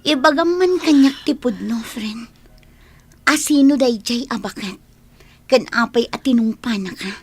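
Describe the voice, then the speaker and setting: A woman's voice: on the high side, unhurried, slightly rough, soft background music. An actress playing a concerned, probing woman friend in a radio drama, easily shocked, with a Filipino accent.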